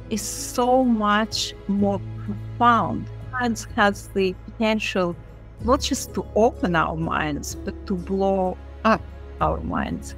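Woman, medium pitch, slow pace, reflective literary commentary, music in the background. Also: rough recording.

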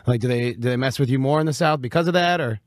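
A man with a raspy voice hosting a casual radio interview in a joking, chatty way. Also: medium pitch, quick pace, dry recording, clean studio sound. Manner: joking, laughs often.

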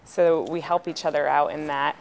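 Young woman, fisheries specialist; low, clean voice; calm documentary explainer. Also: normal speed, vocal fry, low-quality recording. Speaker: subsistence resource specialist. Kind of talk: documentary interview voice-over.

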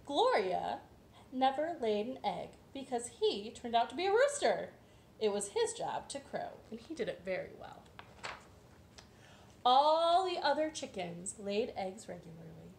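A young woman presenting a children's storytime read-aloud in a playful, exaggerated, expressive way. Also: fairly high pitch, a little slow, vocal fry. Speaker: children's librarian storytime host. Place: living room at home. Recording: roomy echo, some background hiss.

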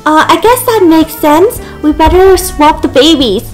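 Girlish hospital nurse, done by a woman, very high voice, flustered, apologetic, fussy, toy roleplay, music behind. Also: lively intonation, a little slow. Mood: embarrassed.

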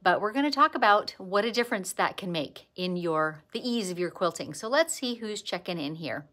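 An older woman with a light Canadian accent talks straight into her phone camera from a sewing room. Her talk is loose and friendly, a casual chat, with bursts of excitement when she greets viewers. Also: mid-range voice, normal speed. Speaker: quilting teacher and livestream host. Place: indoor sewing studio.

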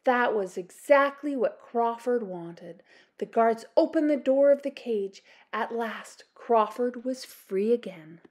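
A Canadian woman narrates a children's picture book. Her voice is fairly high and her pace slow, with the expressive delivery of a storytime reader. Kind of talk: children's storybook read-aloud, scripted narration.

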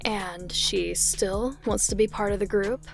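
An actress playing a composed, authoritative assassin commander in an audio drama, formal and matter-of-fact. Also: female voice, medium pitch, measured pace, gravelly, faint music behind. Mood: cool, commanding.

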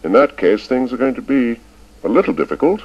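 A man's voice: mid-range voice, big pitch swings, a little fast, breathy edge, pitched above the performer's own voice. Man doing an icy, stern headmaster. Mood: cool. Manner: clipped, formal and icy. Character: cold, stern middle-aged male headmaster. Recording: dull lo-fi recording.

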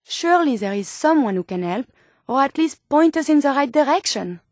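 An actress voicing an earnest, naive robot scientist turned human in a video game, with a French accent and formal, precise phrasing. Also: female voice, high-pitched, animated, normal speed, darkish tone, no echo, studio quality. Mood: thoughtful. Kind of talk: scripted video game character dialogue.

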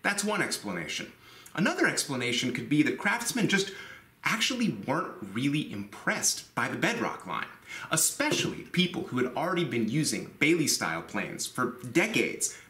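A man's voice: fairly high pitch, sing-song, moderate pace, bright, forceful tone. Emphatic man in an echoey workshop. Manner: emphatic, candid, enthusiastic. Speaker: woodworking video host. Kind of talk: instructional voice-over and talking to camera. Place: small woodworking workshop indoors.

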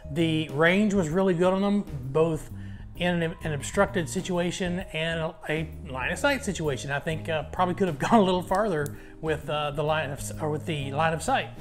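A man's voice: a little high, normal speed. Casual man reviewing gear, music behind. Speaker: product reviewer, channel host.

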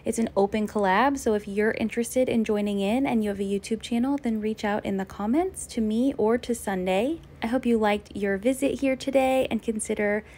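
A woman in her forties narrating a home vlog voice-over, giving calm, friendly, practical tips on household chores. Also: a little high, normal speed, hardly any pauses. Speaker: home organizing vlogger.

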